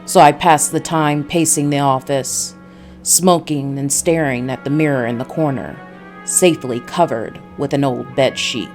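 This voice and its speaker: A woman's voice: extremely low pitch, fairly even intonation, unhurried, darkish tone, soft background music. A woman voicing a world-weary noir detective who narrates his story in a brooding, scripted style from a visual novel.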